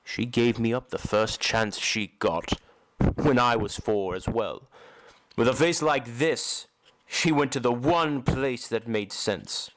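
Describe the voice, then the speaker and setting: A man playing a tormented, embittered masked opera recluse in an audio drama, telling his story in a slow, solemn, dramatic way. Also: mid-range voice, background noise, natural voice. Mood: bitter, pained. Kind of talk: amateur audio drama acting.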